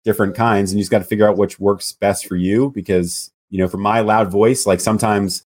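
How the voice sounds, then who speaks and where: A man with a loud voice speaking as a podcast guest, relaxed and hesitant, full of "you know"s. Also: lowish voice, brisk. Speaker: guest, loan officer. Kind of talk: casual interview chat.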